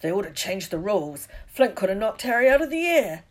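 A big, rough-spoken storybook man, played by a woman narrator, fond and protective. He talks in rustic, colloquial phrases with dropped words, and projects heartily above ordinary talk. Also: medium pitch, very animated, brisk. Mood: indignant, concerned.

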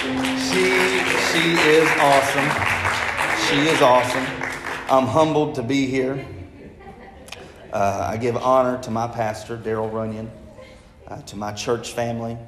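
A man's voice: mid-range voice, animated, slow, long pauses, loud music behind the voice, a loud crowd. A young man giving a casual, rambling testimony as a guest preacher, restarting his sentences and joking at his own expense. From Arkansas. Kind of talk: testimony sermon to a congregation. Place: large church sanctuary. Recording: very echoey hall, distant mic, faint room noise.

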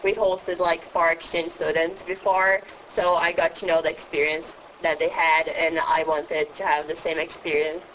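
This is a teenager from Brazil who speaks English. The answers are short and simple, spoken carefully at a middling pitch. A light hiss sits in the background. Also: flat delivery, moderate pace, few pauses, a bit bright, poor audio. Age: teens. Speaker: exchange student guest.

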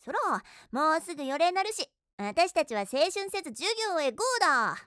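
女性声優が、のんびりしていて人をからかうのが好きな女子高生を演じている。. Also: female voice, high voice, very animated, normal speed, fairly bright tone, no room sound, studio quality. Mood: easygoing. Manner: playful, teasing. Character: teasing, easygoing high school girl. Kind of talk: voice drama acting.

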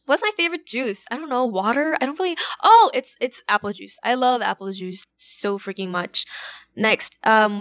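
A young woman with a slightly raspy voice hosting a solo podcast, rambling and self-deprecating with lots of fillers and giggles, recorded on a phone. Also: a little high, sharp tone, no echo. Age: young adult. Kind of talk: casual solo chat. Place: at home, alone. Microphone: phone wrapped in a sock.

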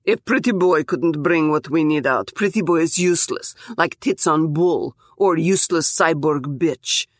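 A brutish gangster boss with a heavy accent, as a woman narrator puts him on, speaking in clipped, broken phrases full of menace. His voice sits low and steady, recorded close and dry. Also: a little slow, hardly any pauses, no room sound. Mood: menacing. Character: brutish heavily accented male gangster employer.

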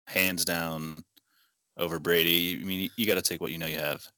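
Man, low voice, bright forceful tone, dry sound, laid-back drawl. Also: level tone, normal speed, dry recording. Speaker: podcast co-host. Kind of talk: casual chat.

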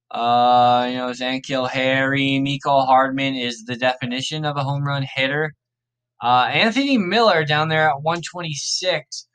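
A man hosting a podcast, thinking aloud in a casual, rambling way, his voice a little raspy and worn. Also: a little high, pushed and bright, poor audio. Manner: rambling, laid-back, self-correcting. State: slightly raspy, tired voice. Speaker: podcast host. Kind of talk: casual thinking aloud during a fantasy draft.

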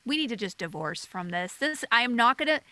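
A woman in casual chat, quick and self-deprecating, who keeps slipping into sung and put-on voices. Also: a little high, lively intonation, pushed and bright, slight background noise.

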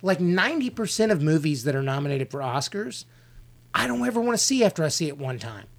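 A man's voice: on the high side, sing-song, creaky. A man from the American South with a Southern accent, co-hosting a casual movie review podcast and giving blunt, opinionated takes. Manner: blunt, opinionated, quick to rant. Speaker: podcast co-host; actor.

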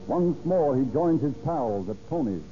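A man's voice: on the high side, lively intonation, moderate pace, warm tone, slight background noise, natural voice. A man narrating a crime story in a dramatic, scripted 1930s radio style. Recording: muffled old radio recording. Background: music.

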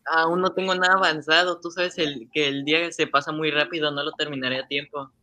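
A man's voice: on the high side, steady tone, brisk, pushed and bright. A man playing an earnest, obedient young schoolboy in a radio drama, with a pleading, affectionate and polite manner. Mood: pleading, worried. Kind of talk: amateur Spanish-language radio drama acting.

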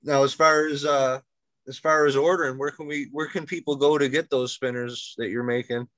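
A man's voice: medium pitch, steady tone, moderate pace, a bit bright, dry recording. A man hosting a casual interview, friendly and encouraging. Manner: friendly, encouraging, asks questions. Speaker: interview host.

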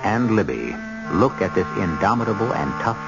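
Theatrical low-voiced male radio narrator over music. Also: sing-song. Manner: theatrical, measured, literary. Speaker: host and narrator. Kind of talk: dramatic scripted radio narration. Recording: dull old radio recording, music behind.

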